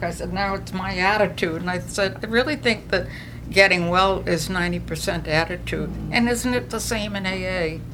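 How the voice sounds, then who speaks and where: A woman's voice: on the low side, noisy background. Older New England woman sharing her story. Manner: earnest, storytelling with frequent restarts. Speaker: recovery meeting speaker. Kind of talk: personal testimony.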